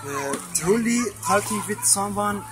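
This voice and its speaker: Man, Persian accent, native Farsi speaker, halting testimony, slow, noisy recording. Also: high voice, lively intonation. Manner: halting, with many pauses and self-corrections. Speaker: person giving a faith testimony. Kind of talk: slow read-aloud testimony.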